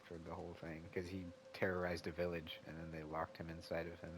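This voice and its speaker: A man's voice: deep voice, flat delivery, fast talker, rough recording. A man in casual chat who gives short replies and laughs a lot.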